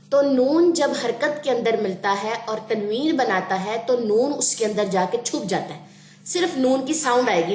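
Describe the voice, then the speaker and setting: A woman teaching a Quran reading class, explaining patiently and sounding out letters slowly. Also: fairly high pitch, roomy sound. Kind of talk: online class lesson.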